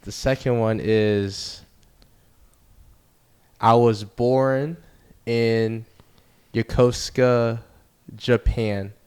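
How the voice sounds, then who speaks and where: A young man with a smooth, resonant voice pitched right in the middle, speaking as a podcast guest. He tells stories from his childhood in a loose, relaxed way, full of fillers and restarts. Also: very slow, pauses often. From United States. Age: young adult. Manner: relaxed, rambling storytelling with fillers. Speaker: baseball player guest. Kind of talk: casual interview.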